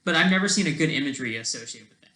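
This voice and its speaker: Casual solo commentator, halting, digressive, informal, self-deprecating, very animated intonation. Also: medium pitch. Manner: halting, digressive, thinking aloud. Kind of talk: casual rambling commentary over study notes.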